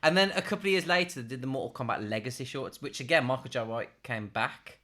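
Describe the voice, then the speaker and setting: Male podcast host, mid-pitched and bright, casual and emphatic among friends. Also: quick pace.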